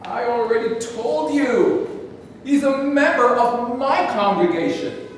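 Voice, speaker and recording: A man reading the part of a smooth-talking preacher and con man in a staged play, slick and persuasive. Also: very high voice, animated, takes it slowly, a little hiss or hum, exaggerated voice. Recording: echoey hall from a distance.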